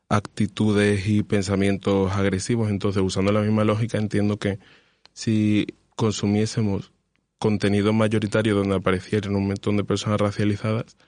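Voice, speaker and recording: A man in his twenties with a low, even voice talks in a measured, careful way, pausing with fillers as he builds each point. The sound is dry and close, with no room around it. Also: no echo. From Spain. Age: 20s. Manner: measured, thoughtful, hesitant fillers. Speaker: panelist. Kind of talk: podcast discussion.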